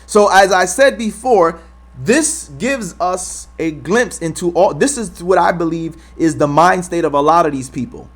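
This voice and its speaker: A man's voice: high-pitched, animated, a little slow. A man hosting his own commentary show, arguing emphatically and repeating his points with frequent rhetorical "right?". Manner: emphatic, repetitive, argumentative. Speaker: host. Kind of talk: opinion commentary on a clip.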